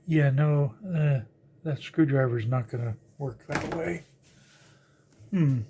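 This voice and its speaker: An older electronics hobbyist giving a casual, unscripted teardown narration, halting and musing aloud while working with their hands. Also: medium pitch, gravelly, noisy background. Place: indoors at a workbench.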